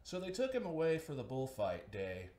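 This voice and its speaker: A man reading a children's story aloud as an expressive narrator. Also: mid-range voice, moderate pace. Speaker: storybook reader, host. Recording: echoey room.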